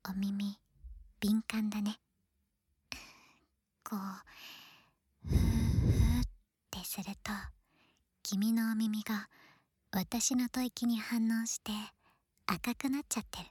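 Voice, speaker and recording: A woman playing an affectionate, teasing young girl best friend in an ASMR roleplay, playful and breathy, often slipping into whispers close to the microphone. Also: fairly high pitch, level tone, slow pace, pauses often, darkish tone, no room sound, exaggerated voice. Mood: tender teasing. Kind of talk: Japanese ASMR situation voice drama, close intimate roleplay. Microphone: close ear-to-ear ASMR microphone.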